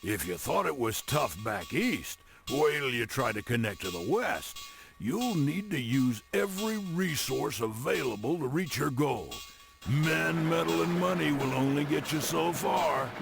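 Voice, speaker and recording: An actor playing a crusty, teasing old American railroad man, narrating game cutscenes in a folksy, theatrical way with a gravelly voice. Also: fairly high pitch, expressive, a little slow, over music. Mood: urging. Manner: folksy, scolding, colorful old-time slang.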